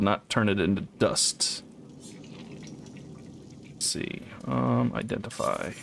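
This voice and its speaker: Gravelly man musing over game. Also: on the low side, a little hiss or hum. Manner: relaxed, musing, laughs often. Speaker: let's play host. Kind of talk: casual gameplay commentary. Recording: game music behind voice.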